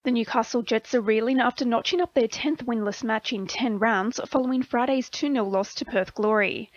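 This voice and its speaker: A woman's voice: a little high, lo-fi sound. An Australian woman reading a scripted sports bulletin on the radio. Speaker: sports news reader.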